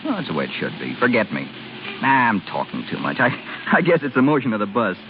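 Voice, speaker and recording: A breezy young American go-getter from an old radio play. He talks fast and slangy with swooping intonation, brash but bashful underneath. The sound is dull and noisy. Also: mid-range voice, huge pitch swings. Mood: bashful, downcast. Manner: breezy, slangy, hesitant about feelings. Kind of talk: 1930s-40s radio drama acting.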